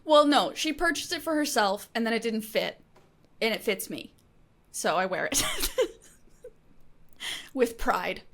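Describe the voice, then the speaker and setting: A woman's voice: on the high side, animated, a little slow, pauses often, a bit bright. A woman co-hosting a podcast in casual, humorous chat with a giggly manner. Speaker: podcast co-host.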